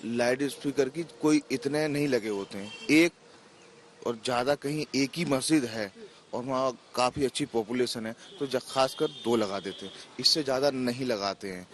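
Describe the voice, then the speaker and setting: A man's voice: medium pitch, moderate pace. A man giving a spontaneous interview answer, dropping in some English words. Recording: faint ambient noise.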